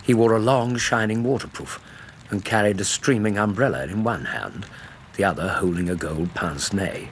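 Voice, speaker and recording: A man acts a Victorian English gentleman recounting a tale for radio drama. His voice is very deep, his phrasing eloquent and measured. A faint wash of stormy ambience sits behind him. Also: a little hiss or hum, lightly acted. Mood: measured, intrigued. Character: Doctor Watson, gentlemanly Victorian male narrator and loyal friend. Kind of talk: dramatic literary radio narration.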